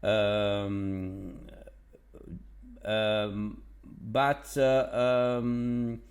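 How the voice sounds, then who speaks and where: A man from Naples with an Italian accent, a language tutor talking casually about languages in a halting, enthusiastic way with many fillers. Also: on the low side, little pitch movement. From Naples, Italy. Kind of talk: casual vlog monologue.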